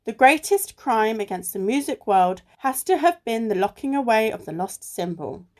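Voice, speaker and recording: Woman acting a clever, level-headed female sleuth, higher-pitched. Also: lively intonation, normal speed, close to an everyday voice. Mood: focused. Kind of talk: amateur radio play acting, often reading articles aloud. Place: recorded alone at home.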